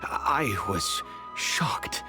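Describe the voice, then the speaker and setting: An actor playing a dignified middle-aged head of a wealthy family, measured and formal as he tells his story. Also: over music. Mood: solemn, reflective.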